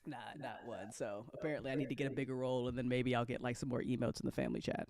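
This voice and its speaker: A woman hosting a casual after-show chat, telling stories in an animated way with acted-out quotes and casual swearing. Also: a bass voice, very fast. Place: online group voice call.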